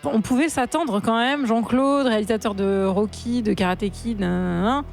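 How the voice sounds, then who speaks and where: A woman's voice: on the high side, moderate pace, vocal fry, soft background music. Frank, slangy French woman podcaster. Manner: frank, slangy, laughs easily. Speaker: film podcast co-host. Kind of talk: casual chat.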